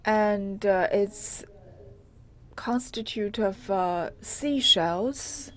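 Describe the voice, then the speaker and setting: Slow, halting woman giving a guided tour. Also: mid-range voice, fairly bright tone. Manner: halting, hesitant delivery with pauses mid-phrase. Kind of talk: unscripted live walk-through narration. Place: on location inside an old house. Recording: clearly noisy on-location live recording.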